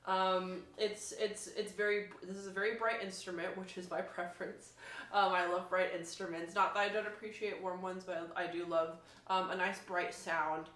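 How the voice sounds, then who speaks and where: A woman's voice: fairly low pitch, little pitch movement, moderate pace, fairly bright tone. A woman giving a casual review as a demonstrating musician, informal and thinking aloud as she goes. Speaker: violinist demonstrating instruments. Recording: echoey room.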